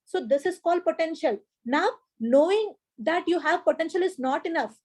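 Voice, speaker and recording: Indian woman teacher on a video call, high voice but kinda mellow, keeps cheering students on and repeating stuff. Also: moderate pace. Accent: Indian English. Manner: encouraging, repeats key points, greets students by name. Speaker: online exam coaching teacher. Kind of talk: live online class, interactive and motivational. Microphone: laptop or headset mic on a video call.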